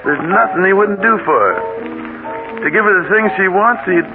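A wistful laconic piano man, high voice, thin old radio sound with music. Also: expressive, moderate pace, phone-quality sound. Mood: rueful. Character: easygoing, rueful bar pianist, a man. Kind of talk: 1940s radio drama acting.

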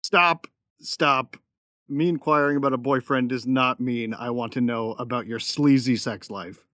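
A man's voice: mid-range voice, steady tone, unhurried, a bit bright, no echo, studio quality, lightly acted. A man playing a tough young gunfighter and protective big brother in a sci-fi audio drama, matter-of-fact and wry. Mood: teasing, exasperated. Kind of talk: first-person narration and dialogue in sci-fi audio drama.

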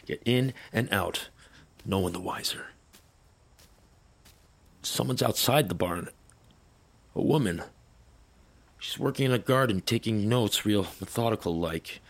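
An actor playing a weary, wry veteran soldier in an audio drama, speaking in terse phrases. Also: male voice, lowish voice, creaky, fairly bright tone, exaggerated voice. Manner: terse, muttering to himself.

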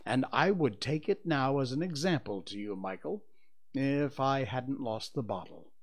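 Man acting a pompous, blustering father, swooping pitch. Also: animated, unhurried. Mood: pompous, boastful. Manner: pompous, indignant, self-justifying. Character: pompous, blustering, cowardly father of young children.

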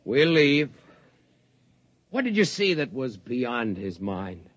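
An actor playing a steady, compassionate space officer in a restrained, serious 1950s radio drama style. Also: male voice, mid-range voice, slow pace, no room sound. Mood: firm, guarded. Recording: noisy vintage radio recording.

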